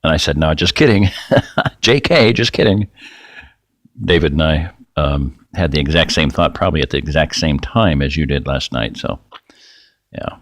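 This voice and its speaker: A middle-aged man hosting a rambling, folksy screen-share talk, his voice getting raspy and dry. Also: rumbling low, moderate pace, no echo. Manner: rambling, folksy, self-interrupting. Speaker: video host.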